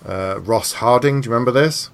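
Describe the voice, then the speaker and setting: A man's voice: mid-range voice, brisk, clear, resonant tone. A man hosting a podcast, talking casually and conversationally with his guest about books. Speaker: podcast host, interviewer. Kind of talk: casual conversational book chat.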